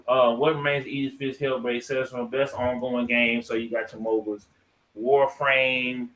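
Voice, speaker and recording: Bright-voiced man hosting a casual podcast. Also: mid-range voice, level tone, normal speed, low-quality recording. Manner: informal, slangy, swearing. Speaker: podcast host. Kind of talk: casual podcast chat with read-out lists.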